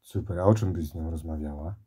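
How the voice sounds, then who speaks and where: A man's voice: a bass voice, fast, dark tone. A man asking children simple, open questions as an interviewer. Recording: roomy, echoing interview recording.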